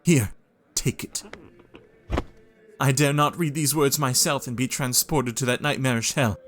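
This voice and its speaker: An actor playing a weary, shaken young Victorian man in an audio drama, who speaks in a halting, frail way. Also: medium pitch, fairly bright tone, close to an everyday voice.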